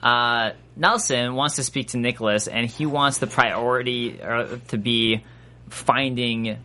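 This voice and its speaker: A man with a nasal voice, co-hosting a casual recap chat, quick to jump into quoted voices. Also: medium pitch, fairly even intonation, bright, forceful tone. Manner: chatty, breaks into quoted voices. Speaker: podcast co-host.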